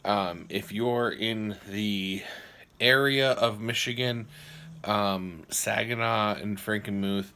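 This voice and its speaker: A man hosting a casual board game show, explaining the rules in a halting way with many ums and restarts. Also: fairly low pitch, bright, forceful tone, echoey room.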